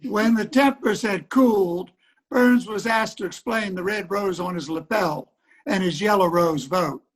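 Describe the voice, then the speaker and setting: A man's voice: sits high, a little slow. A man performing theatrical scripted narration in a reader's theater production.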